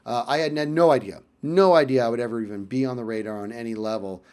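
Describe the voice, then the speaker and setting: A man casually telling a personal story in vlog style, animated and emphatic, often repeating phrases for effect. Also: medium pitch, quick pace, smooth.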